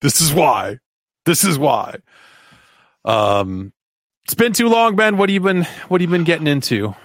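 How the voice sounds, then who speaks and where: A man's voice: a little high, sing-song, moderate pace, no echo, clean studio sound. A man hosting a podcast in casual comic chat, riffing jokingly and swearing freely. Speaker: podcast host.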